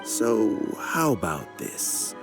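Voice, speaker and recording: Elderly male game statesman, low gravelly voice, dignified, kindly, music behind. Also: sing-song, drawn-out words, full character voice. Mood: diplomatic. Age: older. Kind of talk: video game voice acting.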